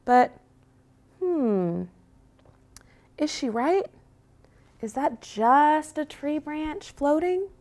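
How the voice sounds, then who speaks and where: A woman voicing an anxious, bossy little female husky puppy in a children's story. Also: high voice, animated, very slow, pauses often, darkish tone, pitched above the performer's own voice, heavily acted. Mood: nervous. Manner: fretful, scolding.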